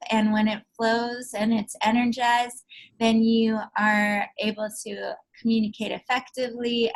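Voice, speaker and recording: A woman speaking as a sound healing therapist in a podcast interview, soft-spoken, explaining things gently. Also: on the high side, low-quality recording. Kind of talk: conversational interview answers. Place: podcast recording.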